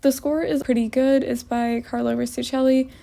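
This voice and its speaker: A woman's voice: high voice, steady tone, moderate pace, creaky, dark tone. A young woman giving a casual, wry voice-over review of films for her art vlog. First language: English. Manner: wry, opinionated.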